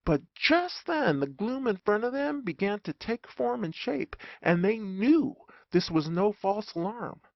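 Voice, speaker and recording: Slow, animated audiobook narrator, muffled sound. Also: mid-range voice, no room sound. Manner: theatrical, expressive. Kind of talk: scripted humorous story narration.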